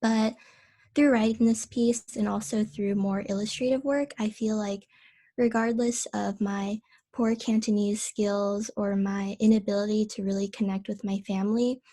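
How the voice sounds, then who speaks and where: A thoughtful woman speaks slowly and carefully over a video call, in a mellow, warm tone. Her voice is soft and measured, with the gentle reflective pacing of a writer talking about her own work. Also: mid-range voice, flat delivery. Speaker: writer reading her piece. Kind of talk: reflective personal talk.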